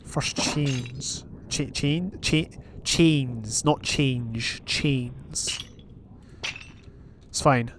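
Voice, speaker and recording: Young Scottish gamer, mid-pitched, chatty running commentary, faint noise floor. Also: male voice, drawn-out words. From Scotland. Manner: chatty, thinking aloud, reading advancements while playing. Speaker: gaming YouTuber. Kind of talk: casual Minecraft let's play commentary.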